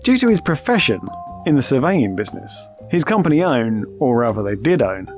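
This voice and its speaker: An actor playing an easygoing, shrewd space adventurer, speaking in a wry, conversational way. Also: male voice, mid-range voice, very animated, fairly quick, a little mellow, faint music behind, lightly acted. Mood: explaining.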